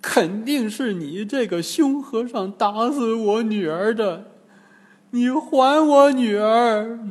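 A man's voice: very high-pitched, expressive, slow, pitched above the performer's own voice, exaggerated voice. A man voicing an old woman who is really a disguised demon, drawn out and lamenting. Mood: accusing. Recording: long reverb.

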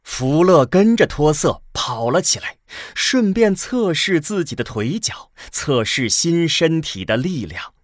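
A man's voice: a little high, big pitch swings, unhurried, few pauses, no echo, clean studio sound. A man narrating a science fiction radio drama in a dramatic scripted style. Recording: music behind the narration.

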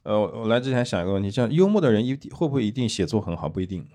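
Male, slightly low voice, quick pace, casual discussion, repetitive explainer. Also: lively intonation, mellow.

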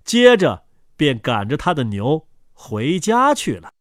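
A man telling a children's story in an expressive, animated way, as if addressing a young listener. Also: on the high side, slow pace, dry recording, studio quality. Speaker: storyteller and host.

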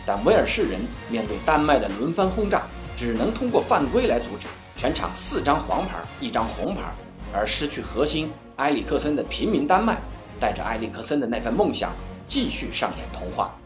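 A man's voice: mid-range voice, sing-song, brisk, reverberant. A man hosting a football show, delivering a scripted match recap in a commentary style. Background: music.

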